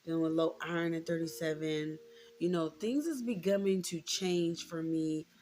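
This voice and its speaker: An American woman in her thirties speaks candidly to her viewers in a slightly low voice with plenty of vocal fry. She is reflective and informal, repeating phrases to drive points home. Soft guitar music plays faintly behind her. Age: 30s. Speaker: vlogger. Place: indoors, room with a closet.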